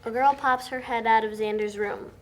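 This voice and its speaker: A woman's voice: fairly high pitch, fairly even intonation, moderate pace, low-quality recording. A woman reading out scripted stage directions as the narrator at a table read. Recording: noticeable background noise, amateur room recording.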